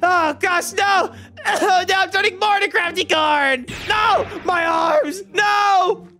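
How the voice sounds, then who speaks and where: A man hosting a game roleplay video, narrating in a panicky, excitable way with frantic exclamations. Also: very high voice, takes it slowly, shouting. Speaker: gaming video host. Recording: faint background music.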